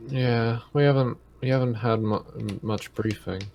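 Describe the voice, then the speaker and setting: A man's voice: medium pitch, steady tone, a little slow, darkish tone, a little hiss or hum. A man chatting casually as a player in a tabletop roleplaying game. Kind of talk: casual group game chat.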